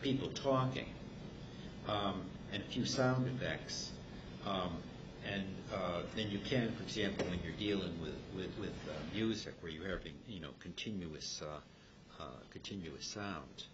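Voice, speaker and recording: Low, halting older man, noisy recording. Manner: halting, repetitive, wordy. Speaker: club member. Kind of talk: informal group discussion. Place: club meeting room. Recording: muffled recording with noisy rumble.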